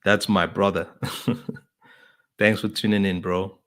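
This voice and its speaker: Chatty lower-voiced podcast host. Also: male voice, flat delivery, measured pace, fairly bright tone. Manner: chatty, friendly, lots of fillers; greets viewers by name. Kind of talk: casual live-stream welcome.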